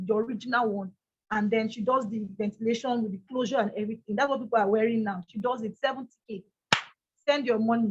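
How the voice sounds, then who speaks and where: A young Nigerian woman speaks with a loud, strong voice that sits high in her range. She talks emphatically, hammering her points by saying them again. The recording is dull and lo-fi. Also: breathy edge. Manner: emphatic, repeats key lines. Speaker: motivational speaker. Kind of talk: motivational talk.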